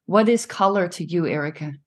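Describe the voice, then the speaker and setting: A woman hosting a podcast interview, reading a measured introduction and then asking relaxed questions. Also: fairly low pitch. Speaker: podcast host. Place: Milan studio or home.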